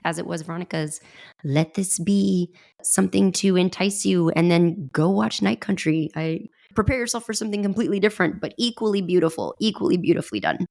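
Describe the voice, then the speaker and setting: Creaky-voiced woman podcasting. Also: fairly low pitch, a little mellow. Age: late 30s to early 40s. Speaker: podcast co-host. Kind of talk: casual chat about films and TV.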